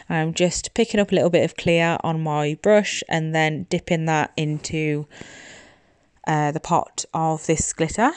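Woman, even-toned, chatty voice-over. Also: low-pitched, clean tone, no room sound, studio quality. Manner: chatty, informal. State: slightly congested from hay fever. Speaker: nail technician making tutorials. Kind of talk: casual voice-over tutorial. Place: indoors, voice-over recorded in a room at home. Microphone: phone.

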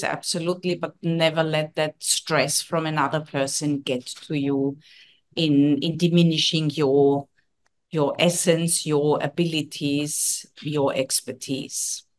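Non-native English woman, forties, earnest trainer on a video call, lowish pitch. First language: not English. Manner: earnest, coaching tone, frequent "yeah?" tags. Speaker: leadership and communication trainer. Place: home office.

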